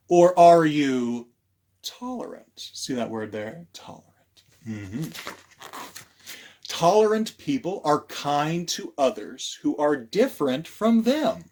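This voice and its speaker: Very animated, slow-paced storytelling man. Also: fairly high pitch. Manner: theatrical, with dramatic pauses and hushes; addresses young listeners with questions. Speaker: librarian storyteller. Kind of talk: animated children's storytime read-aloud.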